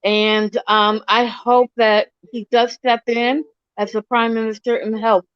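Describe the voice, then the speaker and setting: A woman's voice: on the high side, level tone, measured pace, clear, resonant tone, dry recording. A woman from Florida calling into a live show, eager and friendly as she makes her wish. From USA, Florida. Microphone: video call. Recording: dry, dull call audio.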